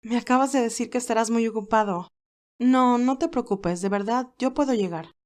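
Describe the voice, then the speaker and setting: A woman's voice: on the high side, moderate pace, lightly acted. A woman voicing a modest, kind, easily flustered young nurse in an audio fan drama. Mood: kind, earnest. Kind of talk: acted audio fan fiction dialogue.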